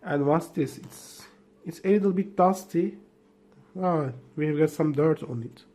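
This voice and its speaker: A man whose first language is Turkish, unboxing gadgets in a halting, filler-heavy way, just woken up. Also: on the high side, mellow. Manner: hesitant, halting, with many fillers and restarts. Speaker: tech vlogger. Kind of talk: unboxing commentary.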